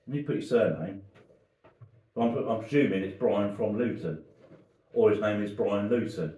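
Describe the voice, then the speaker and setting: Low, mellow-voiced man joking around. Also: normal speed, recorded from a distance, highs cut off. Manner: rambling, jokey, punning. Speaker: podcast co-host. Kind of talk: casual chat. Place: dining room. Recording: echoey room, off-mic.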